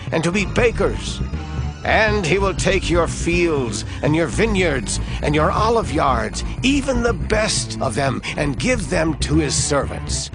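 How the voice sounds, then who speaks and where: A man's voice: a little high, vocal fry, exaggerated voice. An actor playing a solemn Hebrew prophet warning his people, grave and declamatory, in a dramatized scripture reading.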